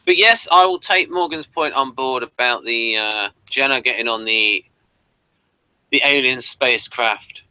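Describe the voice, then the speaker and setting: British male co-host, bright tone, dry sound, informal and meandering reviewer. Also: mid-range voice, a little slow, clean tone, no echo, low-quality recording. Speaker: podcast co-host. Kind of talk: casual review discussion.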